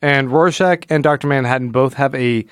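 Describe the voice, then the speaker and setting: Clear-voiced man, casual podcast chat. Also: mid-range voice, moderate pace, no room sound, clean studio sound. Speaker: podcast host. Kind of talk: casual comic book analysis chat.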